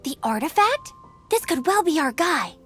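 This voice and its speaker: A woman voicing a level-headed young adventurer in a video game, calm and matter-of-fact. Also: very high-pitched, normal speed, full character voice. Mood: steady. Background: game music.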